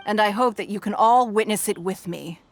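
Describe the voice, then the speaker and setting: An actress playing a poised, shrewd and wealthy stateswoman in a video game, speaking in a formal, measured, composed manner. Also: medium pitch, lightly acted. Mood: solemn. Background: music.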